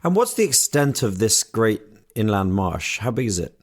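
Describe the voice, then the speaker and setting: A man's voice: lowish voice, big pitch swings, normal speed. A British man hosting a podcast interview in a polite, conversational way with hesitant phrasing. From Britain.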